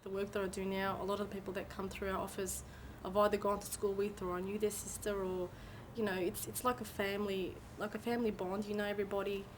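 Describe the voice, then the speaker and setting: A woman speaking in a steady, reflective way in a casual interview. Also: medium pitch, fast, over music, poor audio. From overseas, moved to Australia as a child. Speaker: community member.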